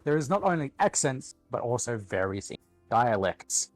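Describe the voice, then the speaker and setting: A man's voice: mid-range voice, very animated, moderate pace, dry recording, soft background music. A man with an Australian accent, chatting casually as a guest on a group livestream.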